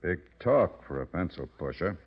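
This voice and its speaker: Casting: tough racketeer boss, low gruff voice, scornful, 1940s radio crime drama, muffled old broadcast. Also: male voice, big pitch swings, normal speed, exaggerated voice. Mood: scornful, impatient. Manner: tough, dismissive gangster talk. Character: gruff, irritable male nightclub owner and racketeer.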